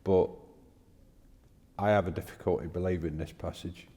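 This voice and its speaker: A man's voice: low voice, steady tone, normal speed, mellow, long reverb tail. A man in casual podcast discussion, speaking as a co-host in a tentative, questioning way.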